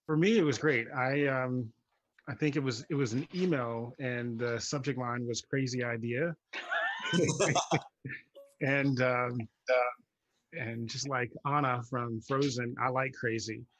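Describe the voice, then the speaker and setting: A man's voice: medium pitch, steady tone, takes it slowly, fairly bright tone, dull audio, slight background noise. A man who is a playwright, chatting casually as a panel guest. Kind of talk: casual chat.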